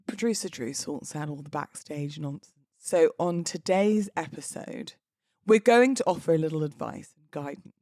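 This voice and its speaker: A woman's voice: low voice, normal speed, a little mellow, dry recording, clean studio sound. A British woman, likely in her thirties, hosting a casual solo podcast in a chatty, self-deprecating, playfully emphatic way. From United Kingdom. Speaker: podcast host; wedding celebrant.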